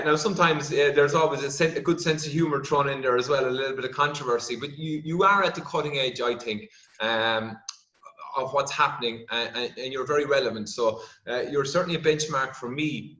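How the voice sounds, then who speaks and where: A man's voice: medium pitch, sharp tone, lo-fi sound. An Irish man hosting a farming podcast interview, speaking in a conversational way with frequent restarts and repeated words. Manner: hesitant, repeats words while building questions. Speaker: podcast host, vet. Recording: echoey room.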